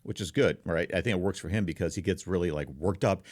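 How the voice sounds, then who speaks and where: Man, very low voice, casual streamer explainer, informal, close dry microphone sound. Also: fast talker, no echo. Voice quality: deep voice. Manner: informal, explanatory, demonstrating as he goes. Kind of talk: casual explainer talk to camera. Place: treated room at home. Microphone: close foam-covered microphone, slightly offset from mouth.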